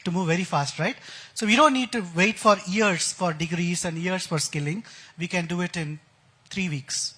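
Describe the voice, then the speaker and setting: A man's voice: sits high, normal speed, pushed and bright, breathy edge, poor audio. A man with an Indian accent, an enthusiastic expert guest explaining technical ideas in a conference interview. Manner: enthusiastic, uses analogies and technical jargon. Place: conference stage.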